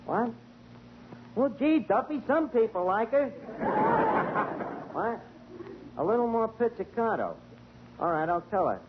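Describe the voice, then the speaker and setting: A man's voice: very high-pitched, big pitch swings, slow, pauses often. A man, acting in a 1940s radio comedy as a wisecracking, streetwise tavern manager. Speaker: tavern manager character Archie. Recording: muffled, noisy old radio broadcast.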